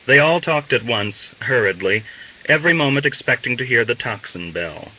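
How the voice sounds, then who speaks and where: A man's voice: mid-range voice, expressive, normal speed, muffled recording. A man reading a novel aloud as scripted literary narration for an audiobook. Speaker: audiobook reader.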